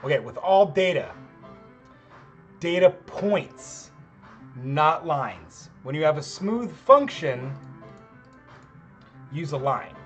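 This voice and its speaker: A man's voice: fairly high pitch, expressive, slow, long pauses, a bit bright. Man with a tired, strained voice, casual rambling lecturer, dull lo-fi audio, faint music under. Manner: casual, rambling, self-deprecating. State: voice getting worn out. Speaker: chemistry professor. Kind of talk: screen-recorded lab tutorial.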